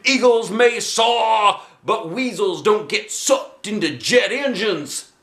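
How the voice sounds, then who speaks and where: A man voicing a manic action-hero gym teacher in a storybook, with a loud, drawn-out commanding delivery. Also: high voice, animated, voice pushed up, exaggerated voice. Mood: manic, excited. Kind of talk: children's storybook character voice. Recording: echoey room.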